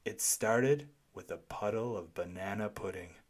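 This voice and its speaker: A read-aloud narrator telling a children's storybook expressively, with deliberate, dramatic phrasing. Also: sits low.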